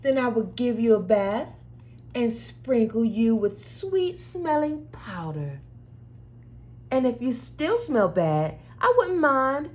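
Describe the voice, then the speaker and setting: Tender, patient storybook mama, voiced by a woman, high-pitched. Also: slow pace, rough recording. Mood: loving reassurance. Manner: tender, soothing, expressive. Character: loving, patient, reassuring storybook mother.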